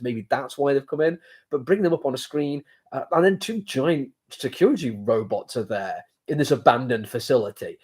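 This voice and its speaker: A man's voice: medium pitch, a little mellow, slightly rough. A man with a cold and a sore throat, casually reviewing a comic book. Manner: rambling, hesitant with many fillers. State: has a cold, sore throat, blocked sinuses.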